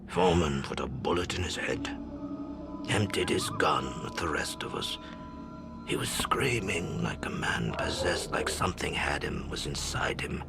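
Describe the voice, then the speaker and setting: Very deep, gravelly whispering old man. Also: full character voice. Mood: haunted. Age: older. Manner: slow, somber storytelling. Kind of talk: video game cutscene monologue.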